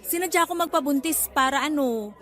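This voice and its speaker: A woman's voice: high voice, brisk, a bit bright, faint music behind, a faint crowd. An actress playing a flippant, manipulative pregnant woman in a radio drama, with a breezy, cheeky delivery.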